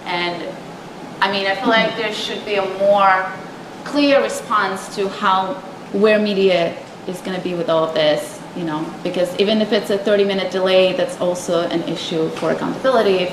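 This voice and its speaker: Woman reporter, insistent and informal questioning, echoey press room with clear background noise. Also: medium pitch, fairly even intonation, moderate pace.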